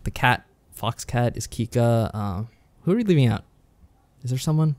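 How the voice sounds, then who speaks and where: A man co-hosting a podcast, explaining and reviewing games in a measured, conversational way. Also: mid-range voice, expressive, darkish tone, no room sound. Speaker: podcast co-host. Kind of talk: conversational visual novel review.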